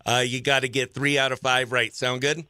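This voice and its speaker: A man's voice: mid-range voice, level tone, moderate pace, bright, forceful tone, smooth, no room sound, clean studio sound. An American man hosting a morning radio show, bantering informally and running call-in games in an upbeat, chummy way. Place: small radio station studio.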